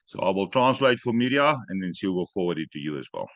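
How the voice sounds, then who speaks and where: A man hosting an online training call, giving brisk instructions with joking asides. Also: low-pitched, very animated, a bit bright, no echo, lo-fi sound.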